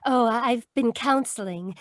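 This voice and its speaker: A woman's voice: on the high side, moderate pace, dry recording, studio quality, natural voice. A woman playing a ditzy, fretful, prim schoolteacher in a 1940s-style radio mystery. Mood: prim, earnest. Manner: flighty, proper. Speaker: actor in a radio play. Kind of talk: 1940s detective radio acting.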